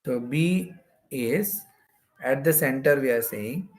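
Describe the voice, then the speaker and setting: A man's voice: medium pitch, expressive, slow pace. A man with an Indian accent giving an explanatory exam-prep lecture as a physics teacher, going step by step and repeating phrases.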